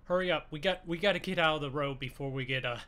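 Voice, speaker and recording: A man bantering in an improvised gaming roleplay, excitable and full of exclamations, often urging others on. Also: fairly high pitch, quick pace. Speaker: gaming video creator playing a truck roleplay. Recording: faint background noise.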